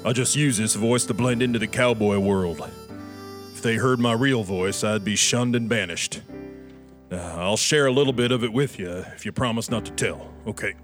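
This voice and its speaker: A man playing a folksy, rambling old cowboy host with an American drawl and a deadpan manner. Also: fairly low pitch, normal speed, natural voice. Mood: confiding. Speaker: host of a comedy sketch show. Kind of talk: folksy comic monologue linking sketches. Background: guitar and harmonica music.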